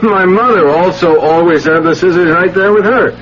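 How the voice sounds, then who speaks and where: An actor playing an expressive American movie star in a theatrical 1970s radio drama. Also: male voice, sits high, moderate pace, reverberant. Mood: amused, indulgent. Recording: dull, noisy old radio recording.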